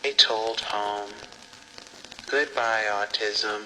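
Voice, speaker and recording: A man playing an odd character who talks in clipped, halting phrases. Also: lowish voice, steady tone, noisy background. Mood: oddly calm.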